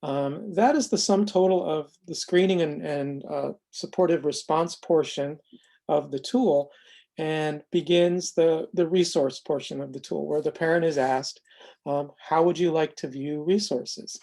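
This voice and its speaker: An American man presenting an unscripted explanatory demo of a software tool, speaking in a measured, halting way with frequent pauses. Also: on the high side, creaky.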